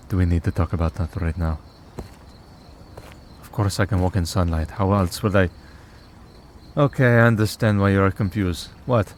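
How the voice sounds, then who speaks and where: A man playing a centuries-old vampire priest in an audio roleplay, calm, wry and merciful, talking in a dry, unhurried, politely sardonic way. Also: low-pitched, a little hiss or hum, close to an everyday voice. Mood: calm, guarded. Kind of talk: scripted audio roleplay, ASMR drama.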